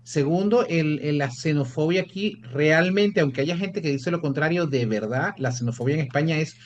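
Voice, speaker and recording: A man's voice: a little high, moderate pace, dull audio. A Venezuelan-born man, an immigration lawyer, explaining procedures in an interview, often repeating words as he restarts. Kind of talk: interview answers.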